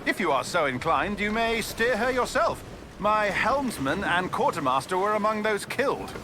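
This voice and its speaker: A man playing a timid, effusive gentleman merchant in a video game, speaking with polite, old-fashioned phrasing. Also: sits high, very animated, moderate pace, background noise. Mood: hospitable. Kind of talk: dramatic video game acting.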